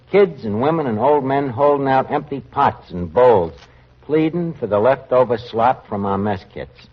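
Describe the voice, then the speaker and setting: An actor playing an earnest working-class tavern manager with streetwise, ungrammatical talk in a 1940s radio show. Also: male voice, mid-range voice, husky. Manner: colloquial, streetwise, uneducated grammar. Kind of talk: 1940s radio comedy-drama acting. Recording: muffled vintage radio recording.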